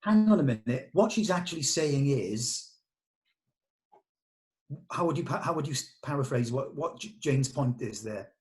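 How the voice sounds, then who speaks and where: A man acts as director at a script read-through, explaining what he wants from each performer. He speaks plainly and firmly, at an average pitch, in an unscripted working style. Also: lively intonation, normal speed, pauses often.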